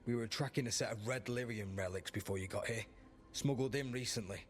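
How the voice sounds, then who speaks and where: An actor playing a sardonic, wary underground rebel in a video game, speaking drily and bluntly. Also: male voice, medium pitch, brisk, close to an everyday voice. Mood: wary, businesslike. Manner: dry, blunt. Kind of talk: video game cutscene acting.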